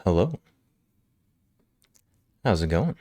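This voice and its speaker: A male livestream host chatting casually with viewers, slightly hoarse from a cold, relaxed and quick to laugh. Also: low-pitched, dry recording, studio quality. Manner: relaxed, laughs often, answers chat. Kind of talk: casual chat with live chat. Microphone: studio microphone.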